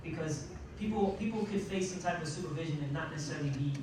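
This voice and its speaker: Male panelist, slightly high pitch, emphatic, rhetorical questions, mid-sentence pauses, distant mic, noisy room. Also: heavy reverb. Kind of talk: panel discussion remarks, unscripted. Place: large indoor room at a college panel event.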